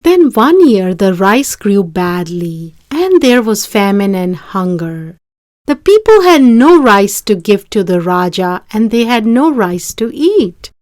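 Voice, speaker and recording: A woman reading a children's story aloud in an expressive, deliberate storytelling way. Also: medium pitch, no room sound, clean studio sound. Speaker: storybook reader.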